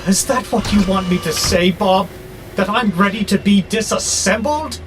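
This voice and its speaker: An actor playing a belligerent, sardonic warehouse robot who grumbles with exasperation in an audio drama. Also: male voice, high-pitched, moderate pace, music in the background, close to an everyday voice. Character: grumpy, belligerent male warehouse robot, war-hungry, wary.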